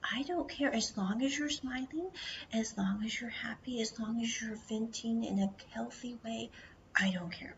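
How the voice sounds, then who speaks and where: Whispering woman with a gravelly edge. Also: a little high, moderate pace. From United States. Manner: speaks mostly in a hushed whisper; chatty, rambling, full of "I was like". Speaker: vlogger. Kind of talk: casual travel storytelling. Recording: dull lo-fi audio with clear background noise.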